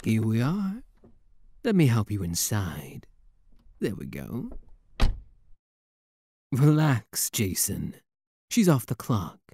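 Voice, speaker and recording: A man's voice: medium pitch, wildly swooping pitch, slow pace, gravelly, long pauses, mellow, slight background noise, exaggerated voice. A man acting a suave, teasing demon prince in a romantic roleplay audio drama, with a courtly, flirtatious drawl and playful mock menace. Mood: suave, commanding.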